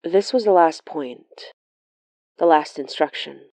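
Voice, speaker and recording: A woman's voice: deep voice, slow, dark tone, no echo. A woman playing a wry, watchful sorcerer who narrates a horror audio diary in a confiding, matter-of-fact way.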